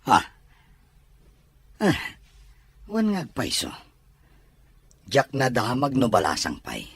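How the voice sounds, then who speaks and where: A bashful young gentleman, awkward around women, played by a man, in an audio drama. The voice is very husky and breathy, mid-pitched but lively, with hesitant phrasing. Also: slow, no room sound, full character voice. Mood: bashful, smitten. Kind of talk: Ilocano audio drama acting.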